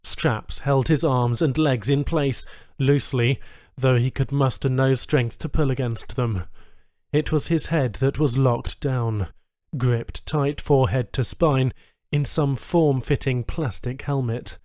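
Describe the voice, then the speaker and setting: Dry, mid-pitched male audiobook narrator. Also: steady tone, a little slow, a little mellow, no echo. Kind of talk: scripted story narration.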